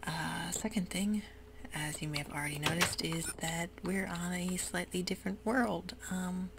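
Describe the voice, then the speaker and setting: A young woman whispering casual ASMR commentary while she plays a video game, talking in a soft, rambling way. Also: on the low side. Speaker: ASMR gaming channel host. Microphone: desk mic while gaming. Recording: rough home recording with audible hiss.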